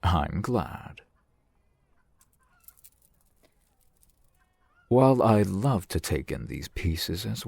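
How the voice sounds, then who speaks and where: A man's voice: deep voice, gravelly, darkish tone, slightly rough, no echo, full character voice. A man playing a courteous, shrewd fantasy shopkeeper in an ASMR roleplay, with formal, eloquent merchant patter. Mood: gracious, measured. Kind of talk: ASMR fantasy roleplay, softly acted one-sided dialogue.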